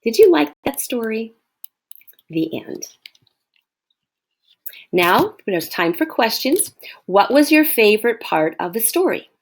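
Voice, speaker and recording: A woman's voice: on the high side, sing-song, slow, long pauses, breathy edge. A woman schoolteacher reading a children's storybook aloud, expressively, and addressing her young listeners with explanations. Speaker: elementary school teacher.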